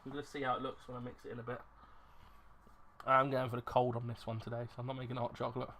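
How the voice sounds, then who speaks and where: A plain-voiced man talks at an everyday pitch in a loose, rambling way, often restarting his sentences. He sounds self-deprecating and unscripted, picked up by a camera mic at a small desk with faint noise in the background. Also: completely flat delivery, quick pace, sharp tone. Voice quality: plain, unremarkable voice. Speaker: YouTuber reviewing ration packs. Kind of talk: casual unscripted vlog chat.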